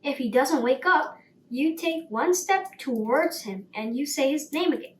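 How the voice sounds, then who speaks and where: A woman's voice: high-pitched, animated, measured pace. A woman voicing a self-assured male sparrow, a pompous know-it-all who explains things in a bedtime story. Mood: explaining, self-assured. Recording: roomy, distant mic, faint noise.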